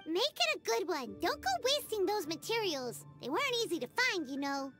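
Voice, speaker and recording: Super high squeaky little fairy girl, bouncy and lively, game music behind. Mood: bossy. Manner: excitable, quick to tease and complain. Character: tiny floating fairy-like girl companion, chatty and blunt. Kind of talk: game voice acting.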